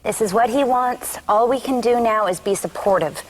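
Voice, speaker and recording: Woman playing an upbeat, high-voiced diner owner. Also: normal speed, a little hiss or hum, lightly acted, low-quality recording. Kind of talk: sitcom script table read.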